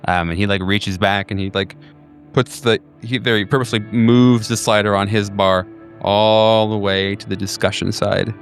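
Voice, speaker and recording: Low-voiced rambling game master. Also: male voice, steady tone, normal speed, faint music behind. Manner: rambling, filler-heavy, with many ums and uhs. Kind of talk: narrating a tabletop horror game.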